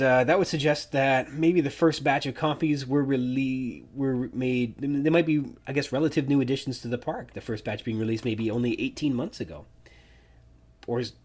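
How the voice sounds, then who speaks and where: A man's voice: mid-range voice, breathy edge. A man hosting a solo podcast, musing thoughtfully over a novel and picking apart details. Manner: thoughtful, musing, nitpicky asides. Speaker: podcast host. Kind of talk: solo book-discussion podcast.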